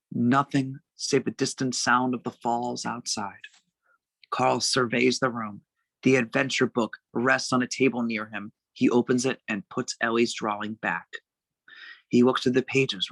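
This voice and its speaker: A man narrating a screenplay's stage directions at a table read, with a gravelly voice. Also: medium pitch, a bit bright, no echo, rough recording.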